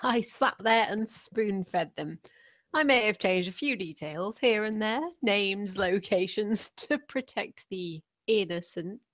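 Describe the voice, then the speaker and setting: A woman's voice: mid-range voice, takes it slowly, fairly bright tone, no echo. Manipulative businesswoman, female table-read actor: seductive, coaxing, medium register, dry. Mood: smug. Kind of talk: amateur screenplay table read.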